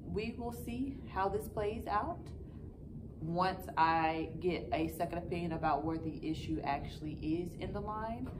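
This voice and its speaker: A woman in her thirties giving a frustrated, matter-of-fact update in a casual vlog style, recorded in a classroom a few feet from the camera. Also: low-pitched. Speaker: vlogger giving a customer review. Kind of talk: casual update talk to followers. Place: school classroom, indoors. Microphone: camera a few feet away. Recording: clear background noise, phone-like distance in room.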